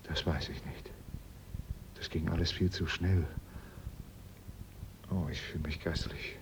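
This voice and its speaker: An actor playing a shaken, deferential manservant with a halting way of talking in a radio crime drama. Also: male voice, low-pitched, steady tone. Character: subdued, shaken manservant questioned after being drugged. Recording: muffled, noisy radio drama recording.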